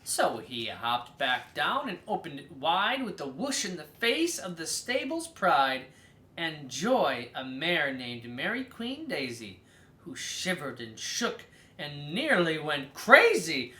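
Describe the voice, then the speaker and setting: A person reading a children's story aloud as host, in a theatrical, drawn-out way with emphatic stresses. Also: medium pitch, very animated, pushed and bright, echoey room.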